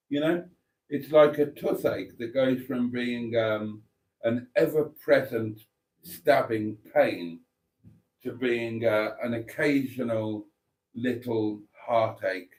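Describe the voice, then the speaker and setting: A man with a stuffy, coughy cold talks in an easygoing, unhurried way. His deadpan humour runs through a relaxed live chat as he reads out viewer comments. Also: medium pitch, slightly rough, poor audio. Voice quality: slow, wry, deadpan delivery. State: snotty, coughing. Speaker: livestream host reading chat.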